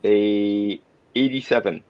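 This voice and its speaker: A man's voice: fairly low pitch, fairly even intonation, slow pace, a little mellow, smooth. A man playing a tabletop roleplay game, discussing moves casually with precise, wordy phrasing. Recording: dry, dull lo-fi close audio.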